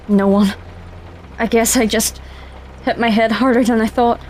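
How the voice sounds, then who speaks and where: An actress playing a crash-landed space commander, questioning and wary, in an audio drama. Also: female voice, fairly high pitch, steady tone, unhurried, darkish tone, a little hiss or hum, close to an everyday voice. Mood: shaken.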